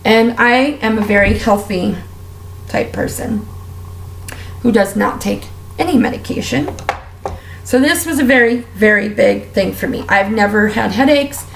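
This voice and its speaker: A woman with a raspy, strained voice, talking candidly and at length while doing her makeup for a vlog. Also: fairly high pitch, a little slow, echoey room, slight background noise, low-quality recording. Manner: candid, heartfelt, rambling with fillers. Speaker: beauty vlogger. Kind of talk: casual personal rant while doing makeup.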